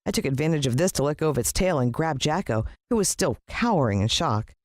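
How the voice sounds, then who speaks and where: A woman with an American accent giving a dramatic, expressive scripted narration of a children's story. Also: low voice, fast, no echo, clean studio sound. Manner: dramatic, playing a child's first-person point of view.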